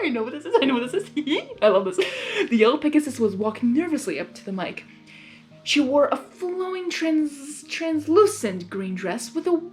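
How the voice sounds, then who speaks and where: High voiced lady reading a story out loud, super gushy and giggly, music behind her. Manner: gushing, excitable, giggly. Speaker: host reading fan fiction aloud. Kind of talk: blind story reading with excited asides.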